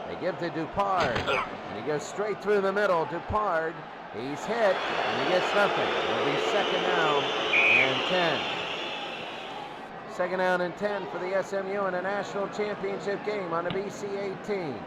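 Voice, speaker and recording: A man calling American football play-by-play as announcer, excitable and repeating phrases for emphasis. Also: sits high, measured pace. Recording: loud crowd roar and heavy noise. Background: roaring stadium crowd.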